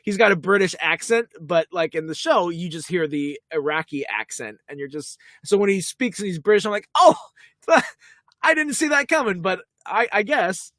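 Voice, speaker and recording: A man co-hosting a casual podcast about a TV episode, animated and slipping into quick character voices as he retells scenes. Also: high voice, dry recording. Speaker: podcast co-host.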